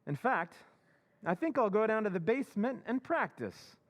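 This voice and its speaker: A man playing a scheming robot that poses as a husband in an old-time radio drama recreation, smooth and falsely sweet with a menacing edge. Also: high voice, sing-song, moderate pace.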